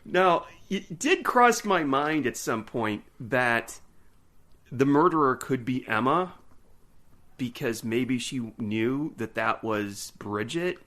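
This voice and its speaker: A man's voice: medium pitch, lively intonation, slow, a bit bright. A man co-hosting a podcast who chats casually with wry, rambling asides and dry jokes. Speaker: podcast co-host. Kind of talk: casual chat about a TV episode.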